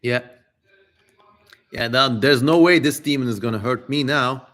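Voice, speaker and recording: Male streamer, unhurried delivery, average pitch, theatrical reading, casual joking asides. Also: fairly bright tone. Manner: theatrical, jokey, informal swearing. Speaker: streamer, host.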